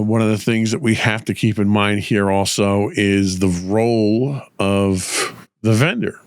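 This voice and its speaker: A man hosting a tech news podcast, delivering measured, explanatory commentary with a voice slightly rough from a cold. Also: lowish voice, dry recording, studio quality. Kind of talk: news commentary, partly read from script.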